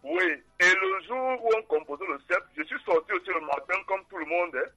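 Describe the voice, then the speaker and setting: A man's voice: high-pitched, expressive, brisk, bright, forceful tone, no echo, soft background music. A man in his forties calling into a radio show, telling a story with laughter. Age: 40s. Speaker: caller. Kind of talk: phone-in storytelling. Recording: tinny phone line.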